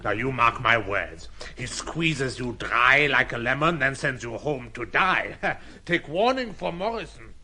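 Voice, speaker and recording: A man's voice: medium pitch, expressive, unhurried, pushed and bright, heavily acted. An actor playing a gloating, spiteful male hotel keeper who sneers and gossips in an audio drama. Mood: grim spite. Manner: sneering, punctuated by laughter.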